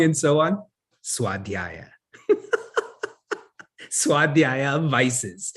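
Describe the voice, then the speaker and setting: A man's voice: on the high side, slightly rough. A man giving a spiritual discourse as a teacher, who asks the audience questions, answers them himself and chuckles often. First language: English. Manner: chuckles often while talking.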